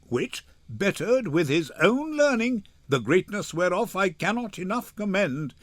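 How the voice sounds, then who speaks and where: Older man acting stately male duke, Shakespearean courtroom, authoritative, unhurried, expressive, dry sound. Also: sits high, no room sound. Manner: formal, authoritative, measured. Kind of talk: dramatic Shakespeare reading.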